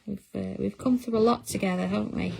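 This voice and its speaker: A woman's voice: medium pitch, steady tone, fast, gravelly, darkish tone, husky, low-quality recording. A middle-aged woman, hosting a craft livestream in casual chat and answering viewer comments as she works. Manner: chatty, reads out viewer comments while working.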